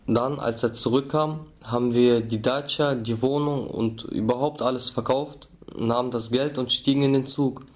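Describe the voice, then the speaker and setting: A man's voice: medium pitch, fairly even intonation, normal speed. A young man from the former Soviet Union recalling his own childhood in a documentary interview. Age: young adult. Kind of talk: personal recollection.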